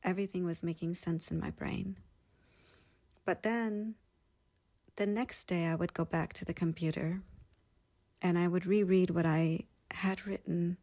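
A woman's voice: lowish voice, fairly even intonation, measured pace, pauses often, warm tone, no room sound. A woman in her thirties, earnestly and reflectively telling her own story as a guest in an interview. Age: 30s.

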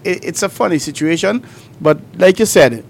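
A man's voice: high-pitched, expressive, moderate pace, vocal fry, slight background noise. A man co-hosting a call-in radio show, explaining things step by step with examples. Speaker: radio talk show co-host.

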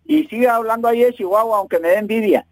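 A man's voice: high-pitched, fast talker, no echo, rough recording. Joking man calling in. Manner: joking, teasing. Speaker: caller. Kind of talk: casual phone chat.

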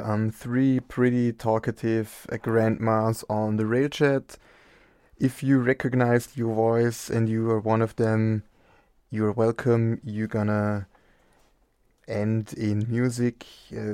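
Slow, easygoing male radio host. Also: on the low side, warm tone, smooth, no echo. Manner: loose, rambling, easygoing. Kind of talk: casual live radio chat. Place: radio studio. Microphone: studio mic, wearing headphones.

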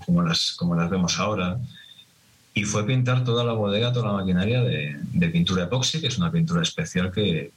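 A man's voice: deep voice, poor audio. A middle-aged man from Madrid with a Madrid Spanish accent, a winemaker answering interview questions in a reflective, hesitant way with many restarts. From Madrid, Spain.

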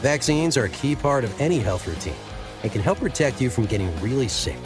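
A man's voice: mid-range voice, lively intonation, brisk, music in the background. A man giving a scripted ad voice-over in a lilting way. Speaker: ad narrator.

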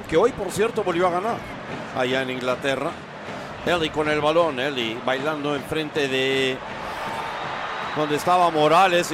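A man giving live play-by-play commentary on a soccer match. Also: on the high side. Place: open-air soccer stadium. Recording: noisy outdoor stadium broadcast. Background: crowd chants and stadium ambience.

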